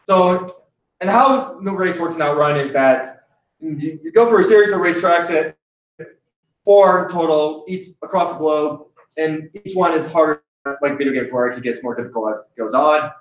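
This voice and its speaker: A man's voice: fairly high pitch, normal speed. Young man chatting in an echoey room. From Hawaii. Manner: rambling, many restarts and fillers. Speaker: host. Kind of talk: casual chat. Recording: echoey room, distant mic, dull sound.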